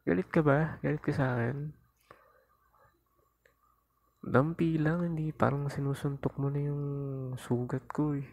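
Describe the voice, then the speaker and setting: A man acts out a young Filipino boyfriend in an intimate ASMR roleplay. He is jealous and possessive, sulking and whining playfully at his partner. His medium-pitched voice is recorded close and dry on a lapel mic. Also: a little mellow, dry recording, close to an everyday voice. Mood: whiny, pained. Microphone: lapel mic into a phone, close.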